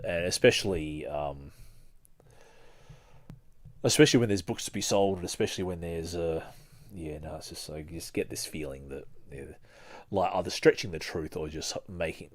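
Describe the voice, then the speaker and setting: A man hosting a podcast, reading aloud and talking informally as he goes. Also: deep voice. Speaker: podcast host. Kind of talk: reading a book aloud with casual commentary.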